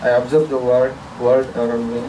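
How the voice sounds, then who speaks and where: A man's voice: mid-range voice, level tone, moderate pace, distant mic, background noise. A man from India giving a formal, earnest self-introduction at a conference, speaking from a prepared statement in an auditorium.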